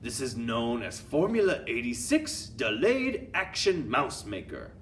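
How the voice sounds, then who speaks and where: A man's voice: mid-range voice, huge pitch swings, measured pace, far from the mic, slight background noise, voice pushed up. A man voicing a tyrannical witch queen, thundering and menacing with odd foreign phrasing. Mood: commanding.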